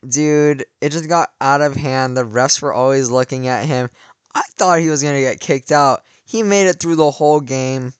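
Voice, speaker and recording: A man's voice: mid-range voice, moderate pace, clear, resonant tone, no room sound. A young man in his late teens tells a story in a rambling, casual way, full of "like" and restarts. His voice is a little worn from cheering. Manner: rambling, many "like" fillers and restarts. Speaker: vlogger.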